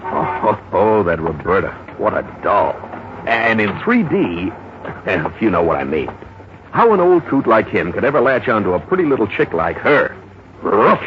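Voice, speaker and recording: An American actor playing a gossipy, easygoing small-town detective sergeant in a folksy, rambling 1950s radio drama style. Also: male voice, on the low side, big pitch swings, moderate pace. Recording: muffled, noisy vintage radio recording.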